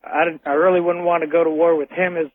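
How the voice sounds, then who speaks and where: An American man phoning a radio show, talking casually and haltingly and repeating his words. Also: fairly high pitch, level tone, no echo. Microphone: telephone. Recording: tinny phone line on radio.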